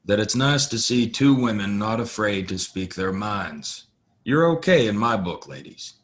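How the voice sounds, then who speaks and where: A man's voice: fairly low pitch, expressive, unhurried. A man playing a polite, modest cowboy stranger in a western audio play, courteous and understated. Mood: easygoing.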